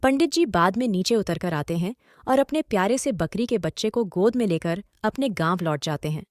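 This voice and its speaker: A woman's voice: mid-range voice, animated, normal speed, no echo, clean studio sound. A woman narrating a scripted story as host and storyteller.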